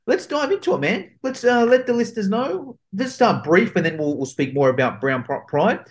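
A man's voice: high voice, expressive, fairly quick. A man hosting a podcast interview, upbeat and hyping up his guest. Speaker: podcast host.

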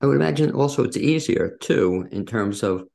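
A man's voice: medium pitch, animated, normal speed, creaky, a little mellow. A man, hosting an interview in a casual, conversational style. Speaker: interview host.

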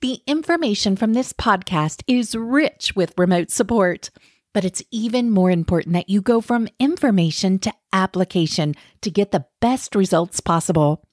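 A woman reading a scripted podcast announcement as host, in measured, careful phrases. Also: mid-range voice, dry recording, studio quality. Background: faint music.

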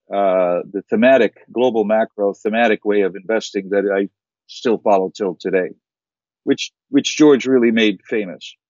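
A middle-aged man with a General American accent, giving measured interview answers with frequent fillers and restarts. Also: lowish voice, a little mellow, no room sound, lo-fi sound. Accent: General American, Chicago-like. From born in Kuwait, raised mostly in the United States. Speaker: investment firm founder, guest.

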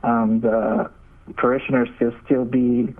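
A man's voice: medium pitch, level tone, a little slow, phone-quality sound. A young man gives casual, hesitant interview answers as a seminarian guest over a remote call. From Nigeria. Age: young adult.

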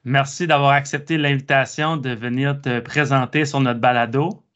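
A man's voice: mid-range voice, steady tone, normal speed, fairly bright tone. Man hosting a podcast. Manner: friendly, hesitant with many fillers. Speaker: podcast host. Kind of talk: casual interview. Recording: dull lo-fi sound.

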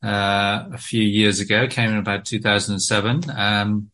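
British man, bright, hesitant interview talk. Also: lowish voice, little pitch movement, moderate pace. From Britain. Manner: hesitant, many ums and restarts. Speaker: guest author.

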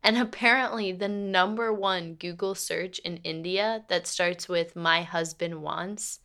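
A woman's voice: lowish voice, steady tone, measured pace, pushed and bright. An actress playing a frank, principled lawyer and girlfriend in a radio play, speaking in a natural, articulate, matter-of-fact way. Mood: amused, chatty.

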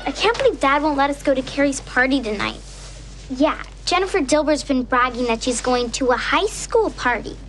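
High-pitched, scheming sitcom girl. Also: animated, normal speed, fairly bright tone, slight background noise. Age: child. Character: scheming, sassy young twin girls pleading with dad.

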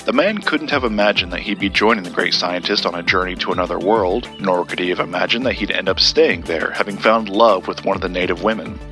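An actor playing an earnest, guarded collector of occult lore, telling his tale in a measured audio drama style. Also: low-pitched, over music, close to an everyday voice. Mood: measured storytelling. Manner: measured, storytelling.

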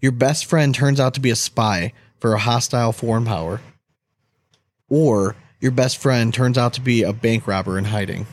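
Male podcast co-host, mid pitch, casual and excitable, very dry sound. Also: normal speed, no echo. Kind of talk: casual chat, posing questions.

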